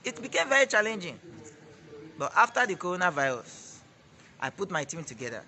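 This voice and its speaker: Young Nigerian man, twenties, Nigerian accent; high, bright voice, emphatic and preachy, testimony-style interview. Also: sing-song, a little fast, creaky. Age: 20s. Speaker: network marketing director.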